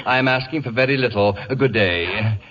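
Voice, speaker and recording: An actor playing a pompous, irascible celebrity wit with an imperious, biting, haughty delivery in radio comedy style. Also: male voice, medium pitch, brisk, fairly bright tone, close to an everyday voice. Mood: irritable, scornful. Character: pompous, acid-tongued celebrity wit, irascible male invalid. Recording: dull old radio broadcast recording.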